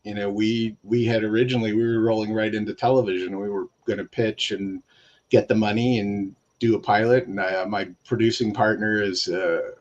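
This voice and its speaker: A man chatting casually as a guest writer on a panel, in a loose, halting way with repeated phrases. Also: on the low side, fairly even intonation, highs cut off.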